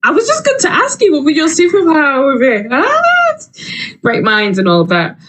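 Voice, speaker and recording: A woman's voice: high-pitched, huge pitch swings, moderate pace, a bit bright. A woman co-hosting a live online event from home in a casual, chatty style. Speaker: co-host. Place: at home on a video call.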